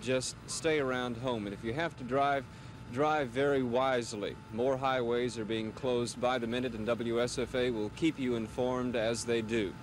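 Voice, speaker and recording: A man working as a television news reporter, giving a measured on-location report outdoors beside a highway, with some hesitations. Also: steady tone. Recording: noisy outdoor field recording.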